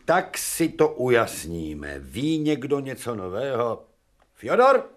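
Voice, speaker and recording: Actor as imperious professor, wildly swinging pitch. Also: male voice, very animated, close to an everyday voice. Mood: interrogating. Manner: commanding, theatrical, barking questions and muttering. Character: imperious, exasperated older male professor of surgery. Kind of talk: Czech radio drama acting.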